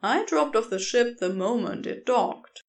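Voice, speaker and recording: A woman's voice: a little high, animated, a little slow. A woman voicing an easygoing, flirty male wanderer in a storybook reading, casual and candid. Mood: nonchalant. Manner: casual, laid-back, teasing.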